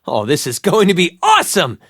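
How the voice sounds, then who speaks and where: High-pitched, excitable young game guide. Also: male voice, brisk, slightly rough, no echo, studio quality, full character voice. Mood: amazed. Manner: chatty, rambling, exclamatory. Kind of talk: video game character acting.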